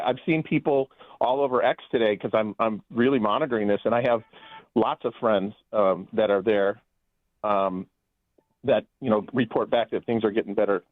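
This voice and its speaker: A man's voice: medium pitch, no echo. A man calling in to a radio talk show as a guest, talking loosely with many restarts and fillers. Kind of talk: phone-in radio interview. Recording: tinny phone line, dry.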